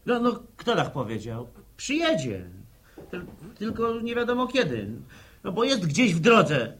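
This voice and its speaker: An actor playing a flustered, gruff village son in a radio drama, brusque and halting. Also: male voice, sits high, huge pitch swings, fairly bright tone, a little hiss or hum, full character voice. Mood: impatient. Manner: brusque, agitated, stammering slightly.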